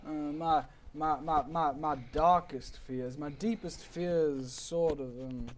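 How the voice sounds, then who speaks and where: A man acts out a gloomy gentleman poet returned from the grave for an improvised comedy show. His voice sits a little high, with halting, theatrical, old-fashioned phrasing full of wonder. There is light noise in the recording. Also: fairly even intonation. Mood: musing. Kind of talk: improvised comedy character interview.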